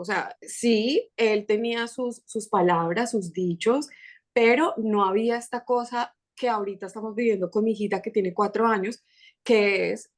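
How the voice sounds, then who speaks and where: A Colombian woman with a Colombian accent, talking as a podcast guest in an animated, chatty way with quick restarts. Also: mid-range voice. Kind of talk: casual conversational interview.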